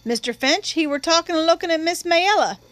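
A woman voicing a frightened, polite young working man on trial in an audiobook, deferential, halting and earnest. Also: extremely high pitch, lively intonation, fairly bright tone, no room sound, pitched above the performer's own voice. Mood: polite, uneasy.

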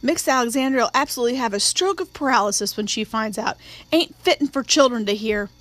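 Brief: stern housekeeper, voiced by a woman, high and sharp, scolding the children. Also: fairly quick, natural voice. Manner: scolding, indignant.

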